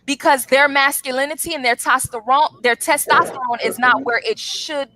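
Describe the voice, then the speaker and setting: A woman with a high, bright voice argues a heated debate point, blunt and insistent. She lectures in long, unbroken stretches. Her tone is sharp and forceful. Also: moderate pace, slight background noise. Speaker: guest debater.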